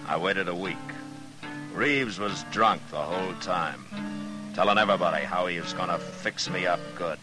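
An actor playing a weary, steady American frontier marshal in a 1950s radio western, dry, measured and understated. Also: male voice, low-pitched, over music, natural voice. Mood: somber, reflective. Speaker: lead character and narrator.

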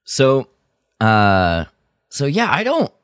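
Low smooth voiced guy on a podcast, slow hesitant fan chat, really dry close sound. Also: huge pitch swings, fairly bright tone, no echo. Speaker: podcast co-host.

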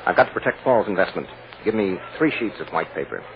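A man acting a shrewd, assured defense lawyer in an old radio drama, deliberate and coaxing with a wry edge. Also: low-pitched, sing-song. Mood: wry, teasing. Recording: muffled, noisy old radio recording.

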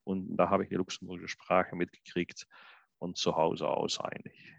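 A man from Belgium, a guest interpreter on a podcast, talking casually and haltingly, full of fillers and restarts. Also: sits low, flat delivery, creaky, no echo. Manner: hesitant, many fillers and restarts. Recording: dull, lo-fi, dry sound.

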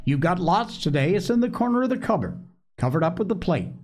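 A man's voice: high voice, big pitch swings, a little fast, darkish tone, pitched above the performer's own voice. A man voicing an eager, loving young girl, an earnest and coaxing big sister in a storybook. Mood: bright, curious.

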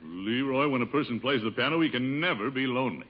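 An actor playing a pompous, jovial middle-aged uncle in an old radio comedy, hearty and self-satisfied. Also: male voice, medium pitch, expressive, fast, lightly acted. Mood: fatherly, encouraging. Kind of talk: 1950 radio comedy acting. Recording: dull old radio broadcast, faint noise.

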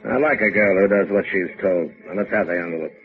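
An American actor playing a smug, menacing ship captain in a 1950s radio drama, terse and mocking. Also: male voice, deep voice, fast talker, lightly acted. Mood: cold command. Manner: terse, coolly threatening, mocking. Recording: noisy, phone-like old radio recording.

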